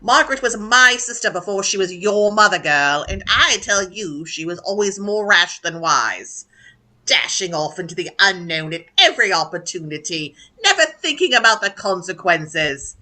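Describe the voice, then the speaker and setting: A woman's voice: medium pitch, animated, normal speed, pushed and bright. A woman playing a haughty, stern aristocratic aunt in a radio play, imperious and blunt. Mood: scornful.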